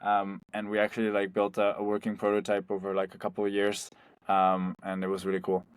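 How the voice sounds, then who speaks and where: A man's voice: on the low side, completely flat delivery, fairly quick, clean tone, no room sound. Young man chatting freely, dry sound. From Spain. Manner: loose and conversational, heavy on "like" and "um". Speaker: startup founder, guest. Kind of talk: casual interview.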